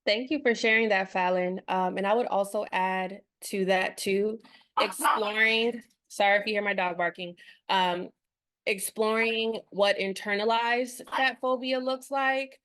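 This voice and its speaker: A woman from the Dutch West Indies who moved to the United States young, moderating and sharing personal reflections informally, pausing deliberately between phrases. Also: medium pitch, fairly even intonation, fairly bright tone. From born in the Dutch West Indies, moved to the United States at four. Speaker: symposium moderator and participant.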